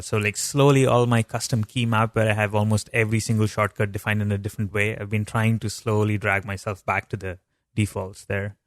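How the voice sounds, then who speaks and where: A man's voice: lowish voice, no room sound, clean studio sound. A young man hosting a podcast, asking questions in a rambling, enthusiastic way, full of "like" and fillers. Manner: enthusiastic, rambling, heavy use of "like". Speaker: podcast host. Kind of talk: casual interview chat.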